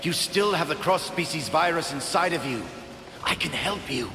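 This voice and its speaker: An actor playing a remorseful, earnest scientist in a video game cutscene, pleading and emphatic with a gravelly voice. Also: male voice, fairly high pitch, animated, normal speed, echoey room, long reverb tail, background noise, lightly acted.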